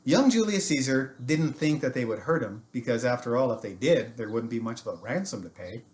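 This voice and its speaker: An American man, a teacher, tells a history story to students in an animated, casual way with jokey asides. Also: medium pitch, brisk.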